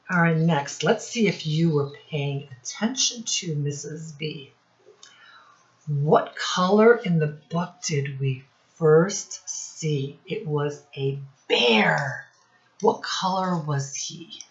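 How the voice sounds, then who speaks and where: Female children's read-aloud teacher, deep register, very unhurried, encouraging question-and-answer delivery, muffled lo-fi sound. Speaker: storytime teacher for young children. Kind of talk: read-aloud lesson quizzing young children.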